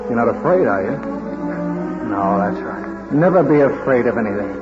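An actor in a vintage radio drama playing a proud, bossy but tender street washer who speaks emphatically. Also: male voice, mid-range voice, expressive, moderate pace, over music, natural voice. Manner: emphatic, declamatory, commanding. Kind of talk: 1940s radio drama acting. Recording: husky, dull old radio drama recording.

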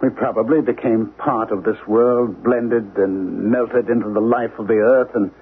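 Through a narrow, phone-like band with faint hiss comes a haunted husband in a seventies radio play. He is earnest and obsessed, pressing urgently and then hanging on dramatic pauses as if gripped by dread. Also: on the low side, fairly even intonation. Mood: haunted, dreamy. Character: obsessed, frightened husband convinced of alien invasion. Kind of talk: 1970s radio drama acting. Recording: noisy, band-limited 1970s radio broadcast.

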